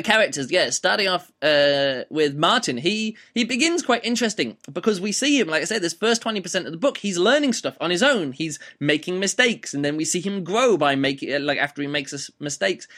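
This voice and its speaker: Guy reviewing a book, voice a bit rough, kinda higher pitched, rambles w lots of ums. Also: sharp tone. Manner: rambling, full of fillers and restarts. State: slightly rough voice. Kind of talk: casual spoken book review.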